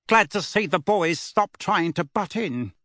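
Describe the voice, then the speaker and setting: Man putting on a gruff, scornful middle-aged uncle. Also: high voice, animated, a little slow, bright, forceful tone, dry recording, studio quality, voice pushed up, exaggerated voice. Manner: grumbling, scathing. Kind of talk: audiobook character voice.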